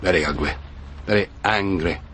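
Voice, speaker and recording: Measured, wry police doctor. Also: male voice, sits low, fairly bright tone, slight background noise. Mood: grim. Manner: deliberate, musing, dryly wry. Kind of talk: radio crime drama acting.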